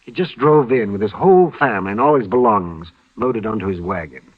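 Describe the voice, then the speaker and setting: Fussy, swooping old frontier doctor. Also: male voice, on the low side, brisk, breathy edge. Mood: grave, urgent. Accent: American. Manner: fussy, excitable, repeats himself. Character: fussy, weary older male frontier town doctor. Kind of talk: 1950s radio western acting. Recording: dull vintage radio recording.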